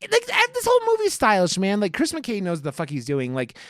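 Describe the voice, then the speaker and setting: A man's voice: sits high, huge pitch swings, a little fast, no room sound, clean studio sound. A man hosting a casual podcast, chatting about films in a gushing, enthusiastic way with plenty of swearing. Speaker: podcast host. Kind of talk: casual, profane film review chat.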